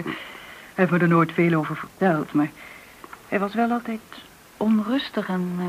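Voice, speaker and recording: A woman acting in a radio play as a businessman's confiding friend, tentative and at times soft-spoken. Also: lowish voice, lightly acted. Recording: dull, noisy old radio recording.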